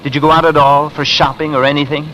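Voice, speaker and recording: An actor playing an earnest, wounded ex-con husband in a 1950s American radio noir, with urgent, clipped slang. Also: male voice, a little high, level tone, fast talker, pushed and bright, poor audio. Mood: bitter, urgent. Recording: hissy vintage radio recording.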